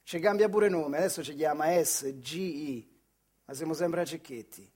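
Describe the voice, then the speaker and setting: An actor playing a proud, stubborn factory worker, telling his life in a colloquial central Italian dialect, animated and full of rhetorical questions. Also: a little high, normal speed, natural voice. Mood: wry, animated. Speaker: actor-narrator. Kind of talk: theatrical storytelling monologue for radio.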